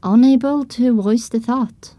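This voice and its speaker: A storybook-style first-person narrator played by a woman, a pony mare telling her adventure. Her voice sits a little high and is recorded close and dry, with measured, descriptive delivery. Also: warm tone, no echo, close to an everyday voice. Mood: narrating. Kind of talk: scripted audiobook narration.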